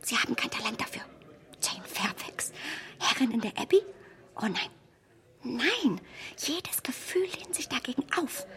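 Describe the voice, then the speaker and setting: An actress playing a strong-willed, self-assured young heroine who talks emphatically in a hushed voice, in a radio play. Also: female voice, on the high side, very animated, a little slow, long pauses, in a whisper, heavily acted. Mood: indignant.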